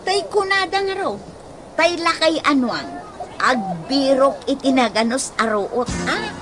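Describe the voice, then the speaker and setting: A woman's voice: sits high, animated, unhurried. An actress playing a teasing, gossipy, playful village woman in a radio comedy drama. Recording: noisy radio drama, bird ambience.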